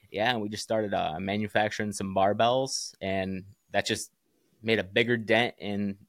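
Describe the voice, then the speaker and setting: A man's voice: lowish voice, a bit bright, dry recording, clean studio sound. An American man speaking as a podcast guest, casual, earnest and plain-spoken about his work. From Chicago area, United States. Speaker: podcast guest, small business founder. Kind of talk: casual interview chat.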